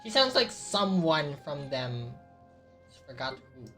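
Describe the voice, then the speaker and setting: A woman's voice: deep voice, sing-song, measured pace, fairly bright tone, soft background music. A woman with a Filipino accent doing casual, reactive game commentary, musing aloud and switching between languages. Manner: reactive, muses aloud, mixes two languages. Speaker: streamer.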